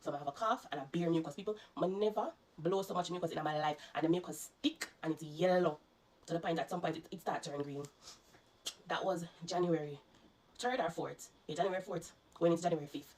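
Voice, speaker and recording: A woman's voice: fairly low pitch, a little fast, a little mellow, low-quality recording. A Jamaican woman vlogger, congested and coughing, earnestly recounting her illness to her viewers and warning them. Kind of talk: personal storytelling to viewers.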